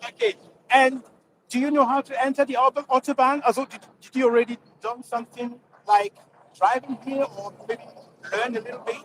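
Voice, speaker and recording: A man hosting a casual live street chat in simple, halting phrases. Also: very high voice, fairly even intonation, poor audio. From Nigeria. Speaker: live streamer, driving tips host. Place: outdoors on a motorway bridge. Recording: loud outdoor traffic noise. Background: passing vehicles, wind and road noise.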